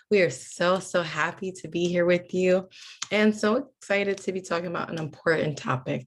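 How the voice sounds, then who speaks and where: A woman who is a professor, presenting a webinar in an upbeat, enthusiastic and inviting way over a video call. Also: on the low side. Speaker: adjunct expert and professor.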